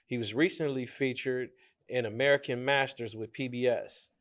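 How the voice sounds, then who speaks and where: A man hosting a podcast, reading a scripted introduction and then asking relaxed interview questions. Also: medium pitch, moderate pace. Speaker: podcast host.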